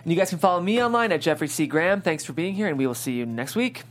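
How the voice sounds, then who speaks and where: A man's voice: a little high, expressive, fast talker, faint music behind. A man hosting a casual panel discussion in an enthusiastic, informal way. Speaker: host.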